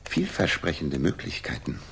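A brilliant London detective in an old radio play, with a low, gravelly voice. He is commanding and deliberate, as if reasoning aloud. The recording has a light hiss. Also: huge pitch swings, a bit bright, heavily acted. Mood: intent, explaining. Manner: musing, deliberate, authoritative. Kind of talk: 1960s German radio drama acting. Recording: hissy 1960s radio drama recording.

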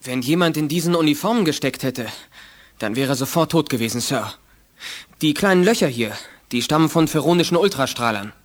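A keen junior-sounding space officer, acted by a man, with a fairly high voice. He explains respectfully and factually, in radio drama style. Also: lively intonation, a little fast, close to an everyday voice. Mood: matter-of-fact. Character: keen, matter-of-fact military officer. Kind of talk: radio play acting.

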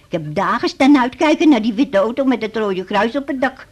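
Casting: cantankerous, muddled woman in her eighties, rough husky voice, cheeky rambling delivery, radio play. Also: a little high, lively intonation, fairly quick. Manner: contrary, rambling, blunt. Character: stubborn, forgetful 83-year-old mother, cheeky and rambling. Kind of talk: 1980s Dutch radio drama acting.

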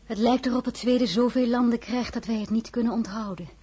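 A woman playing a composed, courteous highborn lady in a radio drama, speaking formally and with restraint. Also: steady tone, fairly quick, mellow, husky. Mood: coolly firm.

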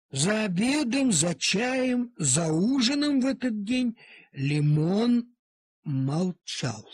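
A man reading a literary animal story aloud in a theatrical, deliberate manner with dramatic pauses. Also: on the high side, slightly rough, no room sound, studio quality. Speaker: storyteller, audiobook reader. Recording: cassette transfer of audio play.

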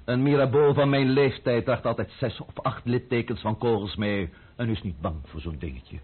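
An actor playing an imperious, scheming, middle-aged French count in a radio play, husky-voiced, haughty and lecturing. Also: male voice, medium pitch, moderate pace, noisy background, natural voice. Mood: commanding, wry. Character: imperious, scheming French count and royalist intriguer, impatient, sardonic. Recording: muffled, narrow-band old radio recording.

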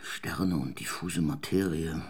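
An actor playing a huge, secretive colonel in a radio play, asking terse questions in a husky, often near-whispered voice. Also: male voice, very deep voice, takes it slowly, heavily acted. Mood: probing. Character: huge, brooding male colonel, secretive and probing.

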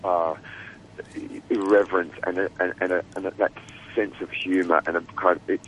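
A man's voice: sits low, little pitch movement, moderate pace, lo-fi sound, a little hiss or hum. A man with an Australian accent, a guest producer talking casually in an interview, rambling with many restarts and fillers.

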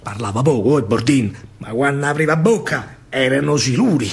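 A man with a Roman accent performing a theatrical verse monologue in dialect, expressive, dramatic and colloquial. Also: mid-range voice, moderate pace, creaky. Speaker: stage actor and storyteller.

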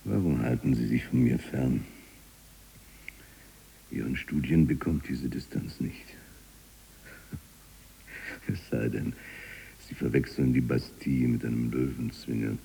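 An actor playing an imprisoned freethinking writer, mocking, obsessive and eloquent, with a husky, gravelly voice, in a theatrical radio drama monologue. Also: male voice, a bass voice, steady tone, takes it slowly, pauses often, warm tone, a little hiss or hum, heavily acted. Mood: mocking, taunting. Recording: very reverberant hall-like space.

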